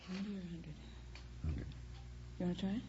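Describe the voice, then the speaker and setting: A woman's voice: lowish voice, huge pitch swings, fast talker, mellow. A young woman answering as a quiz contestant in casual talk, on an old radio recording. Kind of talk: casual, conferring with partner. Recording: muffled, noisy vintage radio recording.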